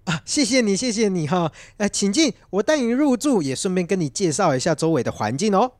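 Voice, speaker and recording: A woman's voice: medium pitch, fairly quick, close to an everyday voice. A woman with a Taiwanese accent playing a flustered young male guesthouse owner in a comedic audio drama. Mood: politely grudging. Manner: exasperated, excitable.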